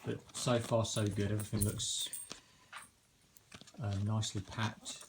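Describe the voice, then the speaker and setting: A man's voice: on the low side, flat delivery, a little slow. A man giving a casual, informal unboxing and review commentary in a tentative, unscripted way. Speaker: product reviewer. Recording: noisy recording with handling clicks.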